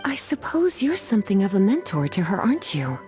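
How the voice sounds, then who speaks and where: A woman's voice: mid-range voice, very animated, fairly quick, a little mellow, lightly acted. A woman voicing an elegant, playfully teasing young scholar in a video game, leisurely and coy, over music. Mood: warm, reflective. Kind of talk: scripted game dialogue acting.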